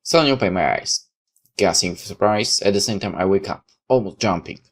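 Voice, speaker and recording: A man's voice: low-pitched, fairly quick, fairly bright tone. A man reading a visual novel aloud in first person, sometimes stepping out of the narration for casual comments. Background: background music.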